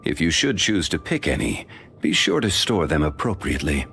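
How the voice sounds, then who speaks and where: An actor voicing a dignified, ancient, learned man in a video game, speaking in a measured, formal and courteous way. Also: extremely low pitch, big pitch swings, a bit bright, soft background music, full character voice. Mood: calm, formal.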